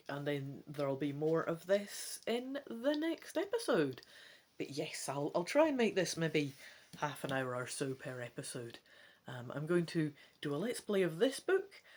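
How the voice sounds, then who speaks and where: A woman hosting a gamebook let's play, switching between casual, chatty asides and dramatic reading aloud. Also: low-pitched. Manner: chatty, animated, self-questioning asides.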